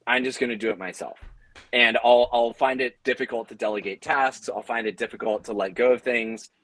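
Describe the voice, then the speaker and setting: A man's voice: mid-range voice, fairly even intonation, fairly quick, fairly bright tone, no room sound. A man speaking earnestly and conversationally on a panel, explaining how he leads his team. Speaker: audio drama company leader on a panel.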